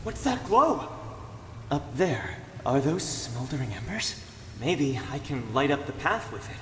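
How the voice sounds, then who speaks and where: Slow, wry adventurer in cavernous reverb. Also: male voice, mid-range voice, a little hiss or hum. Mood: searching, grumbling. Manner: expressive, questioning, grumbling. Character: male sage adventurer, wry, questioning, easily exasperated. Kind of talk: fantasy game acting, muttering to himself. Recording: long cavernous reverb, music behind.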